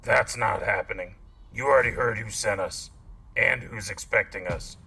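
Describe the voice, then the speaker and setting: A defiant, sardonic soldier in a military audio drama. The voice is fairly low, gravelly and sharp, dry and clipped, set back from the mic in a roomy space. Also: male voice, moderate pace, far from the mic. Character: defiant, world-weary male soldier, sardonic and stubborn.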